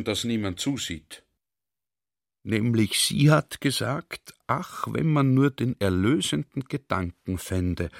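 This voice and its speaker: An actor playing a talkative, earnest army general in a radio drama, confiding and baffled by ideas. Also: male voice, on the low side.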